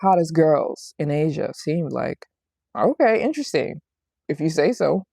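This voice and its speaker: A young woman hosts a casual livestream, talking in a low register with a gravelly fry. She is chatty and playful, repeating phrases for emphasis as she answers viewers. The sound is close and dry. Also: very animated, a little slow, mellow, no room sound. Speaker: travel vlogger hosting a livestream. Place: small apartment living room in Japan.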